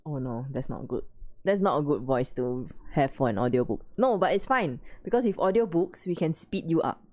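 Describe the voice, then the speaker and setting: A woman's voice: deep voice, animated, normal speed, a little mellow. A woman hosting a livestream, chatting casually with friends on a call, teasing and laughing often. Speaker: livestream host. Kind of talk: casual chat on a video call.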